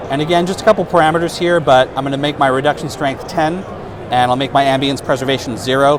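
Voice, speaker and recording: A man in his thirties walks through a product demonstration at a trade-show booth, speaking casually with the odd filler. His lapel mic picks up a steady wash of hall noise around him. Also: mid-range voice. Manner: relaxed, explanatory, casual fillers. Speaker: product specialist demonstrating software.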